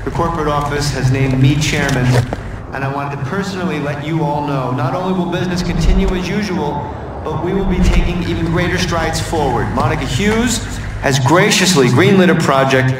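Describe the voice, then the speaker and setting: An actor playing a smooth, scheming executive in a video game, glib, sardonic and polished. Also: male voice, fairly high pitch, a little fast, heavy background noise, natural voice. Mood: public speech. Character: smooth, scheming male corporate executive, sardonic and self-assured. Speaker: corporate executive. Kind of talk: video game cutscene acting.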